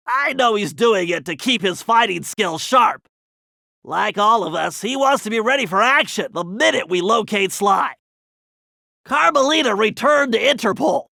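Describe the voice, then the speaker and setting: A man's voice: high-pitched, gravelly, bright, forceful tone, dry recording, studio quality, full character voice. A man voicing a brainy, cautious turtle hacker in a cartoon video game, with a nasal voice and precise, wordy, slightly fussy delivery. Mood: somber narrating.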